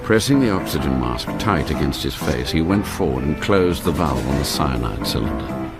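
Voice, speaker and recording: An actor as the grave narrator of a radio spy thriller, telling the story in a measured, scripted way. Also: male voice, rumbling low, expressive. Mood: grave, tense. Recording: loud music and engine effects behind.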